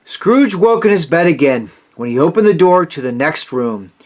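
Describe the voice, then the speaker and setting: A man reading a children's story aloud as a scripted narration, with expressive, dramatic delivery. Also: a little high, moderate pace.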